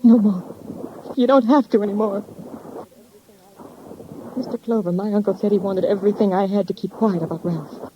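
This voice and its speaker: A woman's voice: mid-range voice, normal speed, pauses often, close to an everyday voice. Actress as a distraught American woman, muffled recording. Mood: tender, resolute. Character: distraught young woman confessing, tender and resolute. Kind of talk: 1950s radio crime drama acting. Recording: muffled, faintly noisy 1950s radio recording.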